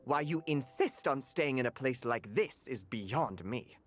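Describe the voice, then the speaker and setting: A man's voice: mid-range voice, lively intonation, measured pace. A man voicing a fussy, loyal cat butler in a video game, with a haughty, grumbling manner. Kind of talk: video game character acting.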